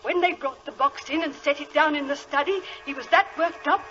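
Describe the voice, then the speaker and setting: An actress playing a frightened, superstitious country housemaid, in excitable 1940s radio style. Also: female voice, sits high, a little fast, close to an everyday voice. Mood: hushed, agitated. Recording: muffled, noisy old radio recording.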